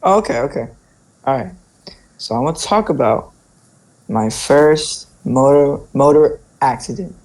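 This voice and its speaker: A man's voice: medium pitch, lively intonation, unhurried, pauses often, a little mellow. A young man casually telling stories in informal speech, often checking in with "right?" and "like". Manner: informal, peppers talk with "right?" and "like". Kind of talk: casual spoken storytelling.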